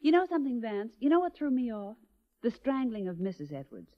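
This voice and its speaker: An actress playing a witty, arch, teasing secretary to a detective in 1940s radio drama style. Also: female voice, fairly high pitch, sing-song, fairly quick. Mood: curious. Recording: muffled old 1940s radio recording.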